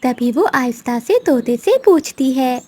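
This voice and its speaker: A woman tells a scripted story for children. Her voice is high and mellow, suited to narration of a simple tale. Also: lively intonation, a little slow, husky.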